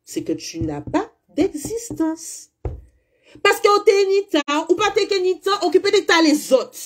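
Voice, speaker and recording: A woman's voice: very high voice, big pitch swings, measured pace. A woman from the French Caribbean, a spiritual coach speaking bluntly and emphatically to her followers, firing off rhetorical questions. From French Caribbean (Guadeloupe, Martinique). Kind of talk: monologue to followers.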